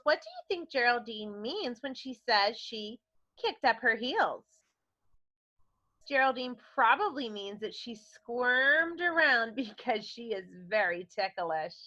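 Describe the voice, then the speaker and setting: Woman, schoolteacher, higher-pitched, very lively intonation, questioning children, instructive. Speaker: elementary school teacher. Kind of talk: read-aloud lesson addressing children with comprehension questions. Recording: dull, lo-fi sound.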